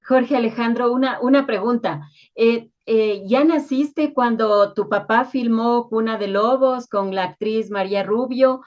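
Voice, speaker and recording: A woman's voice: fairly high pitch, measured pace, few pauses, darkish tone. A woman asking conversational questions as an interviewer. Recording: slightly dull lo-fi sound.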